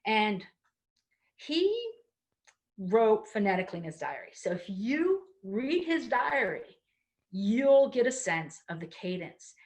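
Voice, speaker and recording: A woman's voice: mid-range voice, sing-song, slow. A middle-aged woman from Connecticut, with a Connecticut accent and a trace of Baltimore, explaining her stage work in a casual talk with many ums and restarts. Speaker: performer and storyteller giving a talk.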